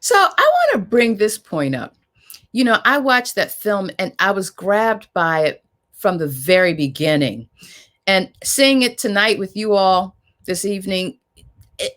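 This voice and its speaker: A woman leads a panel discussion as moderator. Her voice sits at a middle pitch, and she speaks in a measured, reflective manner as she guides the conversation.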